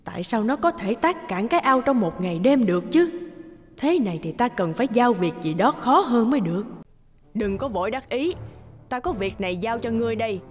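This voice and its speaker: A woman's voice: a little high, lively intonation, a little fast, soft background music. A woman voicing a cruel middle-aged stepmother in a cartoon, scornful, domineering and threatening. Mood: indignant. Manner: scornful, commanding.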